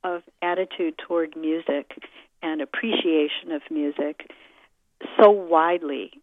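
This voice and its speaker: A middle-aged American woman giving reflective interview answers in a measured, deliberate way, pausing often as she thinks. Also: low-pitched, no room sound. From United States. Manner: measured, deliberate, with frequent pauses. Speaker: interviewee, concert pianist. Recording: muffled narrow-band phone or radio-like sound.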